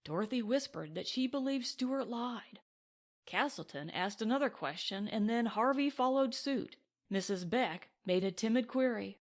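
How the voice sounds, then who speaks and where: Woman, higher-pitched voice, audiobook reader, scripted western narration. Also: lively intonation, normal speed.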